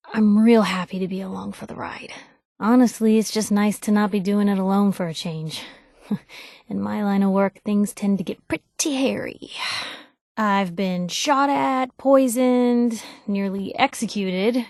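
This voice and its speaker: An actress playing a plucky, principled young woman reporter in a video game, speaking in a candid, wry, expressive, slangy way. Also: mid-range voice, dry recording, clean studio sound, lightly acted. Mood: warm, sincere. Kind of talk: video game companion dialogue, conversational storytelling.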